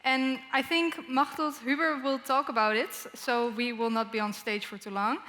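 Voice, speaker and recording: A woman's voice: high voice, fairly even intonation, normal speed, bright, forceful tone. A woman moderating a conference, making upbeat announcements and rallying the audience. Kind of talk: stage announcements. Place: large conference hall. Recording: long reverb of a large hall.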